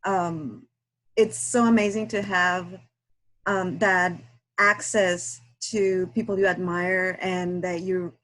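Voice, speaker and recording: A woman from Guatemala, English not her first language, chatting over a video call in a halting way, with many fillers and restarts. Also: on the low side, little pitch movement, fairly bright tone, poor audio. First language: Spanish. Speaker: guest reader. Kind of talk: casual video call chat.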